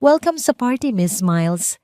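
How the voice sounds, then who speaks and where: A woman's voice: medium pitch, very animated, unhurried, dark tone, no room sound, clean studio sound. A woman with a Filipino accent voicing a shaken, guilty businessman who nervously forces composure in an audio drama. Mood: nervous, forced politeness.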